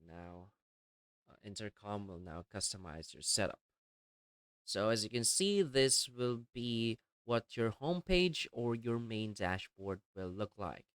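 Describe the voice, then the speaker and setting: A man narrating a step-by-step software tutorial in a plainly explanatory, instructional way. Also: lowish voice, animated, a little slow, clean tone, no room sound. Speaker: tutorial presenter.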